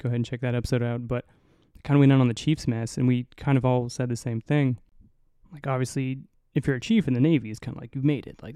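A young man hosting a solo podcast in a low, mumbly voice, rambling and swearing casually, right up on the mic. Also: vocal fry, warm tone, no room sound, clean studio sound. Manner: rambling, profane, self-mocking. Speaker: podcast host. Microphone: close to the mic.

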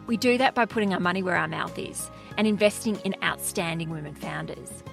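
A woman's voice: on the low side, fairly quick, creaky, soft background music. A woman hosting an interview podcast in a polished, presenter style, introducing and questioning guests.

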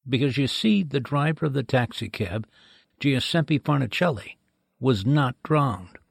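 A man plays a formal medical examiner in an old-time radio mystery. His mid-pitched voice has plenty of gravelly creak. He explains in measured, precise phrases, with a close, dry sound. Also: animated, no room sound, heavily acted. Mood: precise, authoritative. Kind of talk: 1940s-style radio drama acting.

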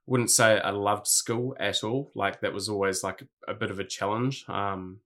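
A man's voice: lowish voice, fairly even intonation, moderate pace, a bit bright. A New Zealand man in his thirties answering interview questions in a laid-back way, with plenty of ums and likes. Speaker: guest, clothing brand founder.

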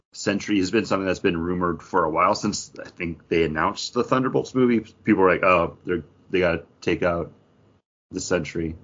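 A man's voice: low-pitched, fairly bright tone, breathy edge. A man co-hosting a podcast, giving his opinions in casual conversation. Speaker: podcast co-host. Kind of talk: casual chat and opinion on films and TV. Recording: dull lo-fi sound.

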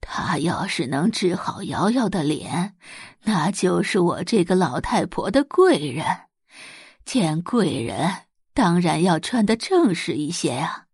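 A woman voicing an elderly, doting and commanding matriarch of a rich family in an audio drama, with slow, drawn-out, stately speech. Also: lowish voice, big pitch swings, a little mellow, husky, no echo, studio quality, heavily acted. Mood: solemn, grateful. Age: older.

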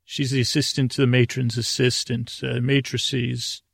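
A man with a creaky voice hosting a bedtime podcast, rambling soothingly and whimsically, stammering and circling back on himself as he goes. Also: medium pitch, level tone, no room sound, clean studio sound. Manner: meandering, halting, stammering, repeating himself; soothing, whimsical, absent-minded. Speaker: podcast host. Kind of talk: rambling bedtime monologue meant to lull listeners to sleep.

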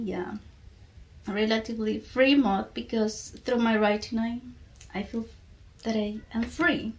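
A woman from Colombia with a Colombian accent and Spanish as her first language, speaking reflectively in an interview and searching for words. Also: on the high side. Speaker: podcast guest.

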